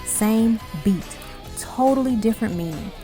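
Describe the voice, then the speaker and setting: A woman's voice: fairly high pitch, measured pace, mellow. A woman reading scripted documentary-style narration for a music countdown, in dramatic, punchy short phrases. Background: music behind the voice.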